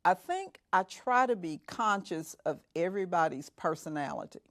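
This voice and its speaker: A middle-aged woman speaking as a guest mentor, measured and reflective, often repeating phrases for emphasis. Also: on the low side, animated, dry recording, clean studio sound. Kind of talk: reflective interview talk.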